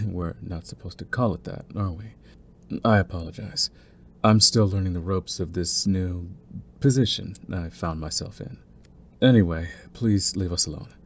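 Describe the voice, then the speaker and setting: A man playing a young mafia heir in an intimate audio roleplay, smooth-spoken and deliberate, with a cunning, condescending streak beneath his courtesy. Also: deep voice, slight background noise. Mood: polite, feigned sheepishness. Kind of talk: intimate scripted audio roleplay, ASMR acting.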